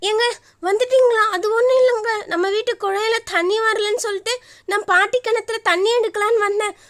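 A woman voicing a timid, fretful young village wife in an animated story. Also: very high-pitched, fairly quick. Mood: anxious, pleading. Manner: fretful, pleading, repetitive.

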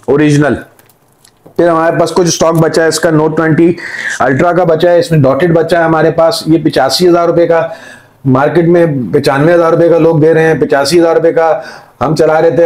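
A middle-aged man with a Pakistani accent giving an informal sales pitch as a phone seller, often repeating filler phrases as he lists things. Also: a little mellow, rough recording. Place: indoor room with display table.

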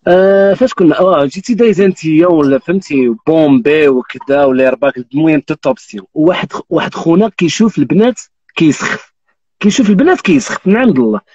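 A man's voice: on the high side, fast talker, darkish tone, no echo, highs cut off. A Moroccan man in his thirties hosting a live stream, teasing and telling jokes with frequent oaths. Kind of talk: joking casual chat. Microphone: phone on live video call.

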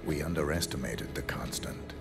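Super deep gravelly assassin, deadpan, slow, hardly any words, video game. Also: male voice, over music. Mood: stoic. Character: stoic, emotionless male assassin. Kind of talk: dramatic video game voice acting.

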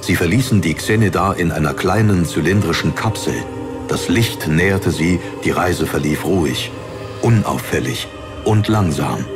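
A man reads scripted fantasy narration in a lowish voice. His storytelling is serious and measured, and clear music plays behind him throughout. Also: lively intonation. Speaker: audiobook narrator.

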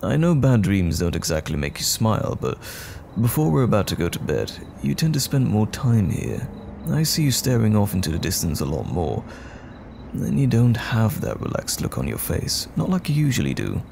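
A man acting as a tender, worried boyfriend in an intimate audio roleplay, speaking gently and soothingly to comfort a troubled partner at night. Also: fairly low pitch, very animated, normal speed, vocal fry, slight background noise. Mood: tender concern. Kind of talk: intimate roleplay audio drama, soft bedside talk.

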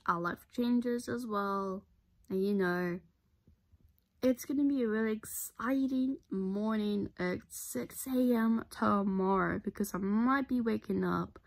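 Rambling young woman vlogging in her bedroom. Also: vocal fry. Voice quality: low voice. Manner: rambling, repeats herself, earnest. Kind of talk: casual talk to camera. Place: bedroom, indoors. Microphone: device camera at close range.

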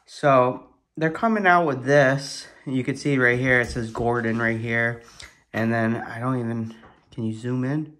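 A man giving a casual, excited hands-on product review at his kitchen table, thinking aloud and swearing in surprise. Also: mid-range voice, a little slow. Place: kitchen table at home, indoors.

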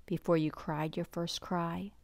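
A woman reads a bedtime story very slowly, in a slightly low voice. Her delivery is gentle and expressive, shaped for a picture book read-aloud. The sound is close and dry. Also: no room sound.

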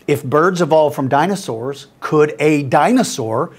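A man in his fifties with a gruff, slightly rough voice, answering viewer questions about dinosaurs to camera in a small studio, friendly and expressive. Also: a little high, unhurried. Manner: friendly, expressive, gestures while talking. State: gruff, rough voice after speaking at a school. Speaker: dinosaur educator and host. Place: small studio with mottled backdrop.

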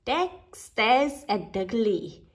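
Woman, storybook read-aloud, high voice, very animated intonation, short phrases with pauses. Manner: slow, expressive, pausing after short phrases. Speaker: storybook reader. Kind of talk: children's picture book read-aloud.

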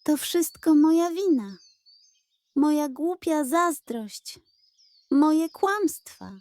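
A vain little boy puppy from a storybook , played by a woman, pitched high with a dry, close sound. He talks in exclamations and is full of himself, though easily rattled. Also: expressive, very slow, darkish tone, no echo, full character voice. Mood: remorseful.

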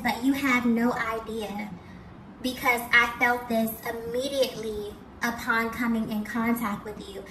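A woman with a fairly high voice delivers an intuitive tarot reading directly to the listener. She is earnest and breaks her phrases up with small check-ins. The recording is echoey, with clear background noise. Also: a bit bright. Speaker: tarot reader. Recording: roomy, distant mic, clear background noise.

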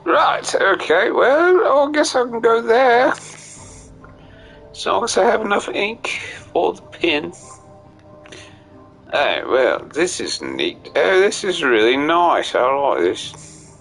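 A man's voice: sits high, big pitch swings, slow pace, vocal fry, long pauses, fairly bright tone. A man giving casual live commentary on a game, rambling in whimsical asides to himself. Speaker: game streamer. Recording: game music behind, slight mic echo. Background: video game music.

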